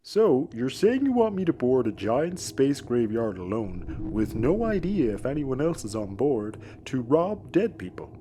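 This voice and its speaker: Animated, cheerfully dim space crewman. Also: medium pitch, measured pace, hardly any pauses, noisy background. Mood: nonchalant. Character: cheerful, dim, morbidly matter-of-fact male spaceship crewman. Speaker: actor in audio comedy. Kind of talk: sci-fi audio comedy acting.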